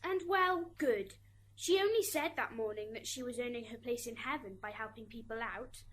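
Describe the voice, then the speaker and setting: A woman's voice: fairly high pitch, moderate pace, a bit bright, close to an everyday voice. A woman acting a resentful, self-justifying schoolgirl daughter in an amateur radio drama. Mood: defensive. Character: resentful schoolgirl daughter testifying in afterlife court. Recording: hissy amateur recording, background noise.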